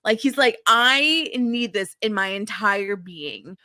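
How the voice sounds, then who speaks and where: A woman with a bright, sharp voice of medium pitch co-hosts a podcast, recorded close and dry. She chats casually with exaggerated comic emphasis. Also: expressive, slow, no echo. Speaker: podcast host.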